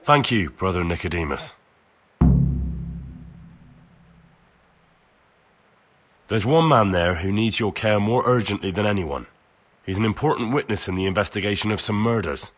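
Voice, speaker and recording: A man's voice: lowish voice, expressive, a little fast, a bit bright, husky. An actor playing a plain-spoken, helpful young man of the common folk in a medieval video game, talking earnestly. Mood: eager. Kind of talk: video game cutscene acting.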